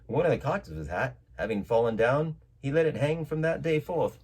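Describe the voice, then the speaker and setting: A man reading a classic novel aloud as a dramatic storyteller, with theatrical, expressive emphasis. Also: medium pitch, moderate pace, a little hiss or hum.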